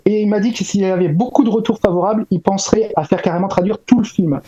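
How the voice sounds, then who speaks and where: A man's voice: high-pitched, fast talker, mellow, slightly rough. A man casually relaying news as a show panelist, on dull lo-fi audio.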